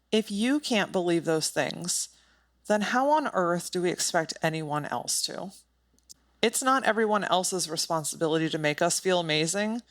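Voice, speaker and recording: Lower-pitched woman hosting a motivational podcast. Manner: emphatic, direct, informal with casual swearing. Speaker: podcast host. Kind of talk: motivational solo podcast talk.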